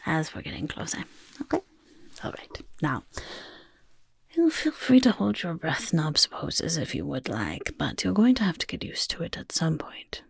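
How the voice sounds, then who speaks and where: A woman voicing a pompous, gleefully chaotic, doting goblin overlord in a soft-spoken ASMR roleplay, with a breathy, husky near-whisper. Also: sits low, no room sound, exaggerated voice. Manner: speaks of self in third person, grandly self-satisfied.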